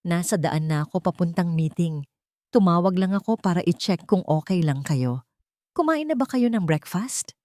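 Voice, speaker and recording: Woman playing a hurried, affectionate Filipino grown son. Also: fairly low pitch, animated, mellow, no room sound, clean studio sound, natural voice. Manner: hurried, caring. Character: busy, affectionate grown son, successful engineer. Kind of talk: storybook character voice.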